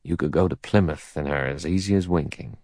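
A man voicing a weather-beaten seaman in an audiobook, with a soft Devon accent and a deferential, persuasive manner. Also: very deep voice, a little fast, no echo, studio quality. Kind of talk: audiobook character dialogue.

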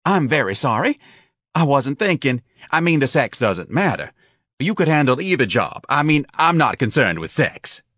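A man voicing a dapper, eager and easily flustered male office manager in an audiobook, bright and effusive in manner. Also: a little high, big pitch swings, moderate pace, no echo, clean studio sound, voice pushed up. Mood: flustered apology. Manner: bright, effusive, anxiously apologetic.

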